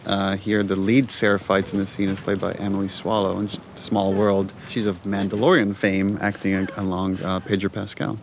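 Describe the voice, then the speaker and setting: A man's voice: deep voice, flat delivery, normal speed, hardly any pauses, noisy background. A man speaking as a game director, giving casual, enthusiastic commentary in a conversational way.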